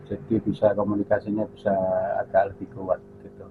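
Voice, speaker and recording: A man's voice: on the low side, monotone, fairly quick, gravelly, narrow, tinny audio, noisy background. A man chatting casually as a ham radio operator over a repeater, with call signs and radio jargon.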